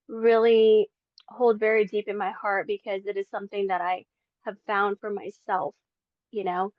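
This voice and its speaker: A woman's voice: medium pitch, no echo, muffled recording. Earnest woman from the Philippines. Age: 40s. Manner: earnest, chatty, many fillers. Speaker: podcast guest. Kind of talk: casual faith testimony. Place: home in Las Vegas.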